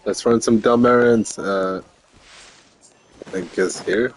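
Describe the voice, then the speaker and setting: A man's voice: lowish voice, fairly even intonation, takes it slowly. A man giving casual gameplay commentary as a streamer, talking in a slangy, offhand, sarcastic way. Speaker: game streamer.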